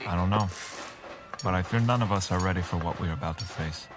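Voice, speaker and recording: An actor playing a calm, steadfast hero in an audio drama, measured and solemn. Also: male voice, deep voice, over music, close to an everyday voice. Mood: grave, reassuring. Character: calm, grave, steadfast male hero leader.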